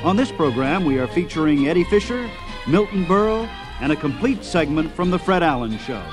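Higher-pitched radio host. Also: male voice, very animated, fairly quick, loud music behind the voice, low-quality recording. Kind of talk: scripted narration introducing old radio clips.

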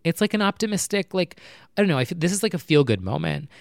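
Young guy talking low late at night, smooth clear voice, dry close sound, lots of "like"s. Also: lively intonation, fast talker, no room sound. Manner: chatty, rambling, lots of "like" fillers; talking low and quietly to keep neighbors from hearing. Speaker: vlogger. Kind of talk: casual personal chat to camera. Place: apartment at night.

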